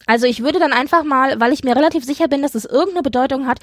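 A woman's voice: sits high, very fast, no echo, clean studio sound. A woman chatting enthusiastically and casually as co-host of a fan podcast about a TV show. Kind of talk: casual fan chat recapping a TV episode.

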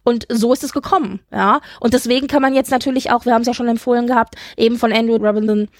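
A woman with a fairly high voice co-hosts a casual fan podcast. She is chatty, emphatic and enthusiastic. The sound is very dry, with hardly any room to it. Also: steady tone, fast talker, no room sound. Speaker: podcast co-host.

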